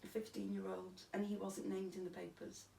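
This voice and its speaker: A woman with a Welsh accent and a croaky, gravelly voice, telling her own story plainly in an interview. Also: mid-range voice, level tone, brisk, mellow. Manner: plain, matter-of-fact telling with restarts. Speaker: interviewee recounting a stabbing. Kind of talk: candid personal testimony. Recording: echoey room, distant mic, faint hiss.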